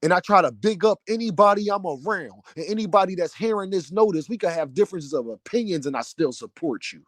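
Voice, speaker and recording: Loud, heated man ranting with a deep voice. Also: animated, fast talker, no room sound. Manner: loud, heated, preaching cadence. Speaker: vlogger, channel owner. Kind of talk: passionate rant to camera.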